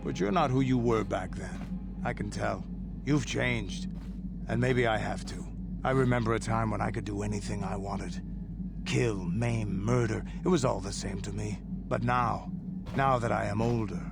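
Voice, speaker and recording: Aging warrior: gravelly, blunt, brooding regret. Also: male voice, on the low side, moderate pace, loud music behind the voice. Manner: blunt, brooding, world-weary. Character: aging gruff Mandalorian mercenary, regretful. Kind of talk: video game voice acting.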